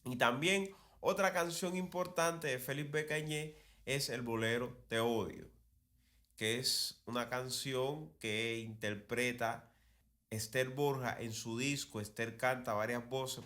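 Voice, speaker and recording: A man's voice: medium pitch, steady tone, slow pace, bright, forceful tone. A man with a Cuban accent hosting a conversational talk on cultural history, telling it like a digressive storyteller who repeats phrases for emphasis. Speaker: podcast host.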